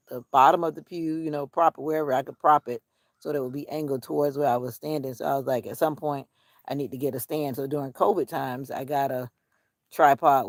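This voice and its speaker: A woman's voice: low-pitched, darkish tone, no room sound, studio quality. A woman vlogging casually outdoors, musing in a rambling way to herself and her viewers. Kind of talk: casual outdoor vlog chat. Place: outdoors on a nature walk.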